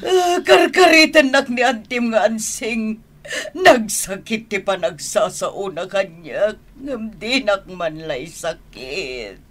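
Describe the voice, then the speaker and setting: A slow, melodramatic female voice, a wounded mother who feels nobody values her. She draws out her plaintive words in radio-serial style, steeped in self-pity. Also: mid-range voice, lively intonation. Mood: tearful reproach. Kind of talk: Ilocano radio drama acting.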